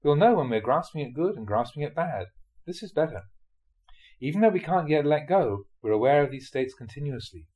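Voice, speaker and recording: A man, a Buddhist monk, reads translated spiritual teachings aloud in a scripted audiobook style, with measured, deliberate phrasing in short clauses. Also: on the high side, sing-song, a little mellow. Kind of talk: scripted audiobook-style reading of dharma talks.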